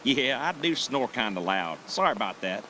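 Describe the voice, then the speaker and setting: Bright, swooping, chatty innkeeper Harvey acted by a man. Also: mid-range voice, very animated, moderate pace, slight background noise. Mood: defensive, dismissive. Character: chatty, evasive male bed-and-breakfast host named Harvey. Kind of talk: audio drama acting.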